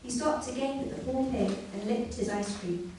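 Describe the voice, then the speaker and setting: A woman performing a scripted comic story live on stage, with a deliberate mock bedtime-story delivery. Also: fairly high pitch, warm tone. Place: live comedy venue, indoors. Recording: very echoey room, distant mic, faint noise.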